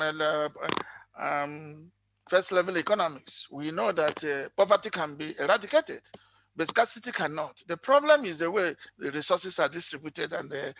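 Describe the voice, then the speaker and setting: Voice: medium pitch, sharp tone, slight background noise. A conference participant speaking off the cuff on an online call, explaining earnestly and posing rhetorical questions. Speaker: forum participant, economist. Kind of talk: unscripted conference intervention.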